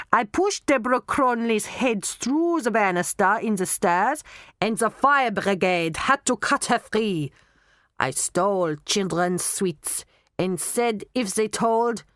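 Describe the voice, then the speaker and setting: A woman acts out a gruff man under hypnosis in a storybook. He speaks very slowly and mechanically, obediently owning up with a heavy, blank manner. The sound is dry and close. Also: medium pitch, fairly bright tone, dry recording, voice pushed up. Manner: slow, robotic delivery.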